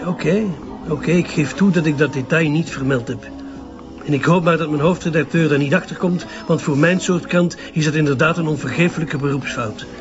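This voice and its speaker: An actor playing a smooth-talking, evasive activist journalist with a Flemish accent, articulate and slightly condescending, in a radio drama. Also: male voice, on the high side, brisk, close to an everyday voice. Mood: defensive, evasive. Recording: slightly dull lo-fi audio, music behind.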